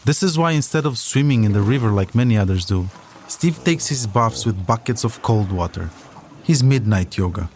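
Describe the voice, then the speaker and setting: A man's voice: lowish voice, lively intonation, moderate pace, a little mellow, a little hiss or hum. A man delivering scripted documentary narration as a podcast narrator, telling a story in composed sentences.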